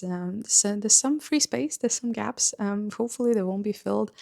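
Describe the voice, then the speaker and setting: A woman's voice: on the low side, creaky, darkish tone, dry recording, studio quality. A woman in her thirties whose first language is Russian, chatting earnestly to camera in a home room about her book collection. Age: 30s. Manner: chatty and earnest, with some filler pauses. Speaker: book vlogger. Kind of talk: casual vlog talk to camera. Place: small home room with bookshelves, indoors.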